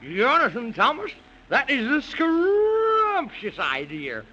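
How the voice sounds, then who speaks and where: A fussy, excitable Man in the Moon for an old radio children's serial. The voice is very high and swoops in a lively, folksy way. The recording is dull and noisy. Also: takes it slowly, full character voice.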